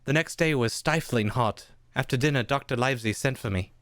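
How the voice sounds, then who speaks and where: A narrator reading a radio play, somewhat haltingly, often tacking "eh" onto sentences. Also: mid-range voice, a bit bright, dry recording, studio quality.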